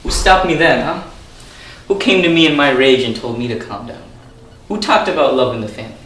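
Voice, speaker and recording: A man's voice: mid-range voice, lively intonation, fairly quick, fairly bright tone, a little hiss or hum, close to an everyday voice. A man playing a ranting mob boss. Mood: furious. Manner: angry, ranting. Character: remorseful mob boss ranting at his family. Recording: echoey room, distant mic.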